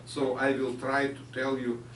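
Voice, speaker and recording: A man with a Ukrainian accent giving a science lecture, halting with many fillers. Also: mid-range voice, completely flat delivery, a bit bright. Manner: halting non-native phrasing with frequent fillers. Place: lecture hall. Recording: echoey hall from far off, faint noise.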